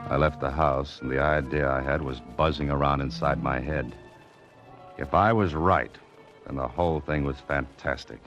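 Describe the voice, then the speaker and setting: An actor playing a hard-boiled private detective, narrating in terse, wry 1940s radio style. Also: male voice, very deep voice, steady tone, normal speed, muffled recording, over loud music. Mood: tense.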